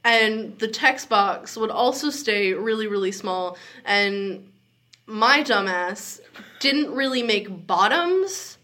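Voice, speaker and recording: A woman's voice: mid-range voice, lively intonation, slow, sharp tone. A young adult woman hosting a podcast in a casual, informal chat, giggly and swearing freely. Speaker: podcast host.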